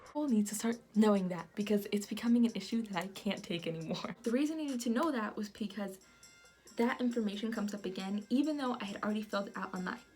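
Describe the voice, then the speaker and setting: A young woman from the United States, telling a personal story in an animated vlog style with lots of fillers. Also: medium pitch, fast, soft background music. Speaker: vlogger.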